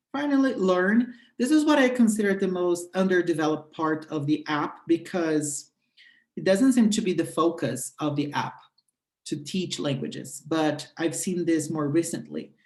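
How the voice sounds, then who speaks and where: A speaker whose first language is Portuguese gives a step-by-step app tutorial in an explanatory, halting way, often pausing on "uh". The voice sits at a middle pitch. The recording carries a noticeable roomy echo. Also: darkish tone. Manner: explanatory, halting with frequent "uh" fillers. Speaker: presenter demonstrating a language-exchange app. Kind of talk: screen walkthrough tutorial.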